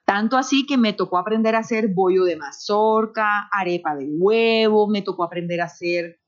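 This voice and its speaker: A middle-aged woman from Colombia speaks with a lightly neutralized Caribbean coast accent at a medium pitch. She is talkative and expressive, telling personal anecdotes in an interview. The sound is dull and lo-fi, as over an internet call. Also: normal speed. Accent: Colombian Caribbean coast, somewhat neutralized. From Barranquilla, Colombia. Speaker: interview guest, radio host by profession.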